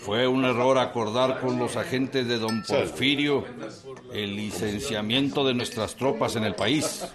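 A man's voice: mid-range voice, a little slow, over music, lightly acted. An actor playing a stern, sententious Mexican revolutionary statesman in a radio drama. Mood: stern, reproachful. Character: grave, disapproving elder statesman of the revolution. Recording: busy crowd with clinking glasses behind. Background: celebrating crowd, clinking.

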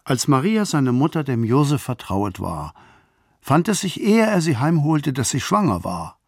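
A man with a husky, creaky voice giving a solemn, formal and expressive scripture reading in a radio drama. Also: medium pitch, moderate pace, no echo, studio quality. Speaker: reader of Bible verses.

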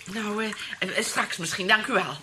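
An actress playing a nephew's snobbish, complaining wife, plaintive and exclaiming, in a radio play. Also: female voice, mid-range voice, moderate pace, noisy background, close to an everyday voice. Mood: guarded politeness.

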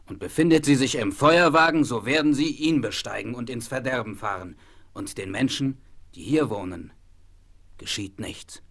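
An actor playing a proud, solemn and wise chief in a German audio drama, speaking in formal, measured phrases. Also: male voice, medium pitch, a bit bright. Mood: resolute. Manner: formal, measured, speaks of himself in third person.

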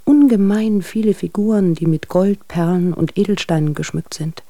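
A wry, gossipy letter writer in a radio play, acted by a woman, with a creaky, mellow voice. She reports in a dry, observant way. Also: lowish voice, normal speed, no room sound, lightly acted. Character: wry, gossipy letter-writing friend of a couple. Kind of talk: radio drama reading of letters.

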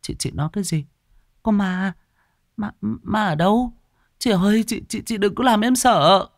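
A man's voice: high-pitched, normal speed, vocal fry, no room sound, clean studio sound, pitched above the performer's own voice. A man voicing a timid, anxious young woman shop assistant in a horror story, hesitant and polite. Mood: frightened.

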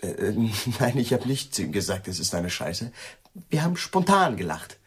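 Husky, placating friend with a swinging pitch. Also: male voice, very animated, normal speed, exaggerated voice. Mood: defensive, evasive. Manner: hesitant, placating. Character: conciliatory, evasive male friend, eager to smooth things over. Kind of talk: radio play acting.

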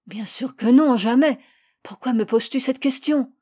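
A woman's voice: sits high, moderate pace, dark tone, slightly rough, voice pushed up. A woman voicing a kind but stern father who answers his son in a firm, insistent way.

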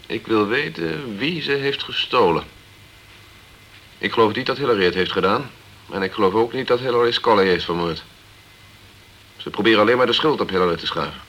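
An actor playing a dogged, straight-talking insurance investigator in a Dutch radio crime drama, direct and clipped. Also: male voice, lowish voice, brisk, long pauses, a bit bright, highs cut off. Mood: insistent.

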